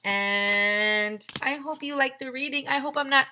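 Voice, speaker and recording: A woman teacher reading a children's story aloud in an expressive, simple storytelling manner. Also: sits high, measured pace, slight background noise.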